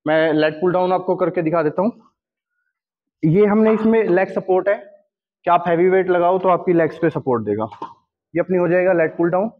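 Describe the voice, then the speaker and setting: A man's voice: high voice, fairly quick, long pauses. A man with an Indian accent, a gym equipment seller, walking through a product demo in his showroom, explaining each station while switching between Hindi and English terms. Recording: long hall reverb, dull lo-fi sound.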